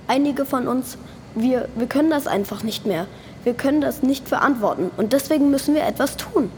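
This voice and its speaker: Woman playing a high-voiced, earnest eco-rebel. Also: brisk, darkish tone, lightly acted. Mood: earnest, troubled. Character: resolute female eco-activist microbe inside a cow, self-sacrificing rebel. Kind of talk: scripted radio play acting. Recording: faint background noise.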